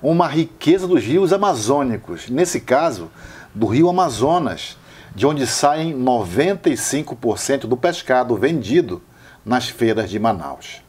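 A man's voice: medium pitch, sing-song, unhurried, a little mellow, slightly rough. A man narrating a travel video voice-over about a fish market, with admiring delivery.

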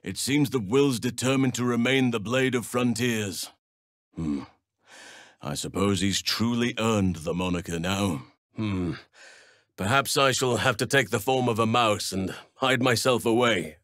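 Casting: a wise, big-hearted game druid; low register, measured archaic delivery, close dry recording. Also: male voice, a bit bright, no echo. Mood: solemn, measured. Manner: measured, formal, archaic phrasing. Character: wise, solemn male druid healer, large and gentle-hearted. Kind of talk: video game voice acting.

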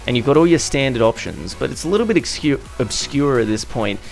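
A man's voice: mid-range voice, expressive, fairly quick, gravelly, soft background music. A young Australian man with an Australian accent, chatting casually as a gaming YouTuber narrating over gameplay, correcting himself with little laughs.

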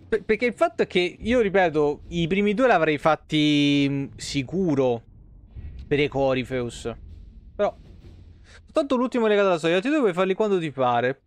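A man's voice: high-pitched, animated, normal speed, clean tone, no room sound. A man, live streaming casually in slangy, foul-mouthed talk and reading game lore aloud. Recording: music playing behind the voice. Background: soft tavern guitar music.

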